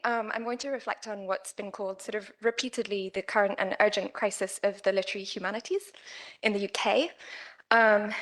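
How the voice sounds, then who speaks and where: Quiet-voiced woman giving an academic talk. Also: medium pitch, poor audio. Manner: quiet-voiced, careful with many fillers. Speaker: conference speaker. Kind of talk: academic presentation. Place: conference room.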